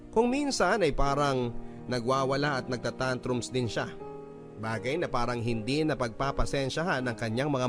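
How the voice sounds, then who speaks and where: Male radio-drama narrator, calm and steady, mid-range voice, clear music bed behind. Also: mid-range voice. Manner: calm, even storytelling. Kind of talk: scripted letter narration. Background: clear background music.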